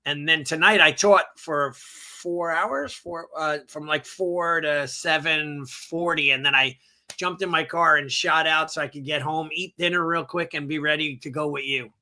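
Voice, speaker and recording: A slightly hoarse man with a New York accent talks as a guest on a podcast. His voice is very bright and sits a bit high for a man. He rambles energetically, restarting his sentences as he goes. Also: measured pace. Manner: energetic, rambling, self-correcting. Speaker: martial arts school owner, guest. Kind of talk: casual podcast interview.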